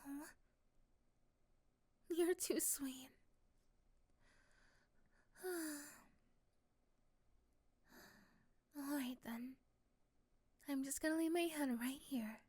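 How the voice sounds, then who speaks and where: Casting: actress as a lovestruck young woman, high and unhurried, soft and sleepy, sweetly teasing, for romantic ASMR audio roleplay. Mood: tender, drowsy.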